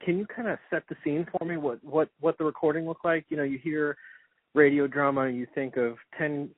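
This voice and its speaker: A man's voice: on the high side, steady tone, fairly quick, no echo. A man conducting an interview in a casual conversational way, feeling his way into his questions. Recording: thin dry phone-like line.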